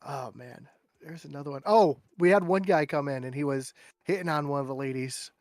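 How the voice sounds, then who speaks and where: A man's voice: a little high, fairly quick, no echo, studio quality. A man chatting as a guest on a casual podcast, telling stories and mimicking people in raised voices.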